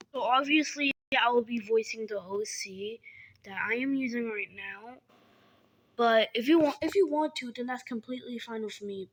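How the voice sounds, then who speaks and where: Halting young woman, somewhat high voice. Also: darkish tone. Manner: halting, repeats and restarts words. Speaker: animation creator. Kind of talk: casual vlog appeal.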